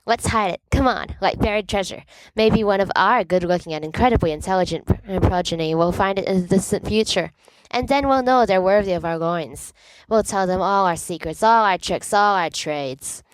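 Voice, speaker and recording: A woman playing a dramatic, mischievous teenage boy in a storybook reading, with an excitable, playful delivery. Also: medium pitch, normal speed, no echo, lightly acted, rough recording. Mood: eager, playful.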